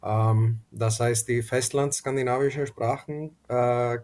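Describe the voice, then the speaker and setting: A man's voice: mid-range voice, steady tone, a little slow, darkish tone. A man calling in on a video call, introducing himself hesitantly with many fillers. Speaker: caller.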